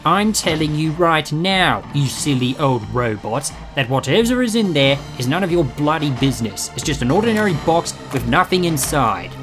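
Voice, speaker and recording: A man playing a quick-witted, protective time-travelling adventurer in a fan audio drama, wry, chatty and cheekily defiant. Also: a little high, very animated, over music. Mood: urgent.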